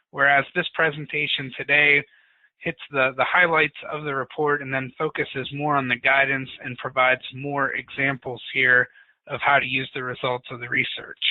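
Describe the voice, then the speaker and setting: A man presenting a technical webinar in an informal, somewhat monotonous way. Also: a little high, no echo. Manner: informal, self-described monotonous. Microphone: webinar call audio. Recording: tinny phone-like webinar audio.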